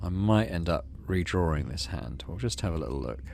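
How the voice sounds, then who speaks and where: A man's voice: sits low, animated, brisk, darkish tone. A man hosting a let's play, commenting on a game and reading its text aloud. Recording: clear background noise.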